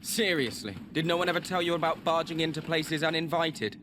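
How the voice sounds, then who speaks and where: A British man playing a brash, cheeky young hero in a video game with animated, informal delivery. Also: a little high, fairly quick, noisy background, close to an everyday voice. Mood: taunting. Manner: cocky, irreverent, informal.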